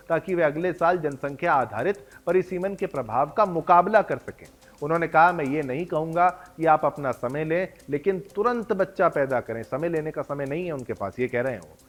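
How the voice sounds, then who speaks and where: A man anchoring a news bulletin, reading stories in a combative, accusing manner with rhetorical questions. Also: a little high. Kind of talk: studio news presentation.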